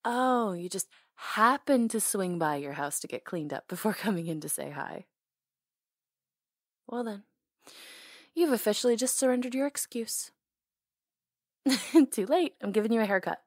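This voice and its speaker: A woman's voice: mid-range voice, animated, unhurried, long pauses, dry recording, clean studio sound. A woman acting in an intimate ASMR roleplay as a hair stylist, talking casually in a caring, teasing way.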